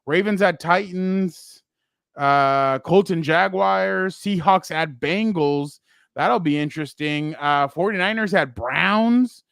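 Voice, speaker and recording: Man, sports show co-host, loud, rambling, laughs a lot, higher voice, dry close sound. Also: slow, vocal fry, smooth, no echo. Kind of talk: casual sports talk show.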